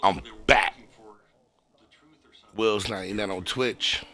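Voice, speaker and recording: Hoarse, low-voiced man streaming. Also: bright, forceful tone. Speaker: streamer, gaming channel host. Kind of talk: casual stream chat. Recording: music behind the voice. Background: music.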